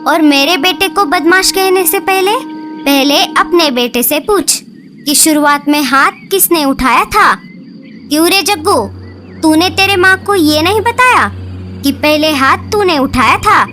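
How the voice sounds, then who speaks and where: A woman doing a sharp-tongued, mocking mother bird. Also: sits high, expressive, unhurried, a little hiss or hum, exaggerated voice. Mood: indignant. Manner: mocking, indignant. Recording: loud background music.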